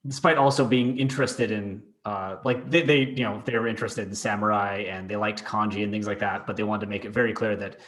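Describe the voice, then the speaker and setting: A man hosts a podcast interview, his voice somewhat low for a man. He phrases his questions and stories tentatively, pausing on fillers and repeating words as he goes. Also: fairly even intonation, a bit bright.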